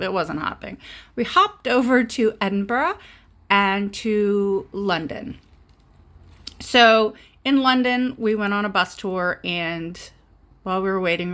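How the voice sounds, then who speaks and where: A woman's voice: a little high, fairly bright tone. Chatty woman showing off groceries. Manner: chatty, rambling, self-deprecating asides. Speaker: vlogger. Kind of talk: casual grocery haul chat. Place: home kitchen table, indoors. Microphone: handheld phone.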